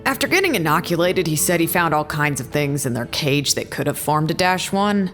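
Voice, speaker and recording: A woman's voice: low-pitched, animated, fairly quick, a bit bright, soft background music. A woman acting as a blunt, sardonic makeshift bartender in a casual, naturalistic audio drama. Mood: matter-of-fact. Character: blunt, sardonic female colleague tending a makeshift bar.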